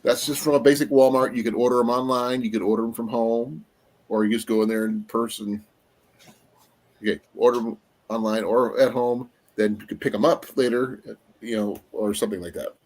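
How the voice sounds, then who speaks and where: A man hosting a casual live-stream chat, his voice going a little raspy, rambling along with fillers as he looks things up. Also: medium pitch, fairly even intonation, a little fast, a little mellow, rough recording. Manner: rambling, trails off with fillers while looking things up. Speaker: livestream host.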